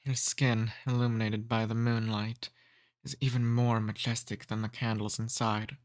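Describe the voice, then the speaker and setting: A man's voice: on the low side, level tone, close to an everyday voice. Man playing a courtly, seductive male vampire. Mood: enraptured, flirtatious. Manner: courtly, slow seductive teasing. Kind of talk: ASMR roleplay, intimate address to listener.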